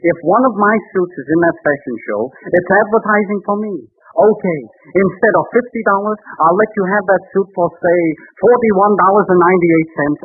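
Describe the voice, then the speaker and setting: An actor playing a pushy, flattering, sly clothing salesman with a husky voice in a 1930s radio comedy. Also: high-pitched. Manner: wheedling, flattering sales patter. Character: pushy, fast-talking male clothing store salesman, sly bargainer. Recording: tinny, band-limited 1930s radio recording.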